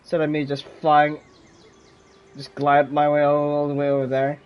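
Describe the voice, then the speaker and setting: A man's voice: fairly high pitch, monotone, moderate pace, smooth, lo-fi sound. A man giving casual commentary as he plays through a video game. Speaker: game streamer.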